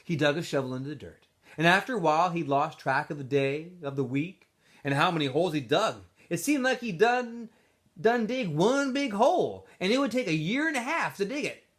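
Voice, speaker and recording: A man with a slightly high voice reads a story aloud to students. His delivery is very animated in pitch and expressive, with casual asides to the listeners. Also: moderate pace. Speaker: teacher reading aloud to students.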